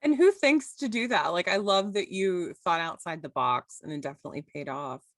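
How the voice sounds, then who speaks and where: Lively, enthusiastic woman host. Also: on the low side, moderate pace, no echo, clean studio sound. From Louisiana. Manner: enthusiastic, encouraging, frequent backchannel. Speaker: podcast host. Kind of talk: casual interview chat.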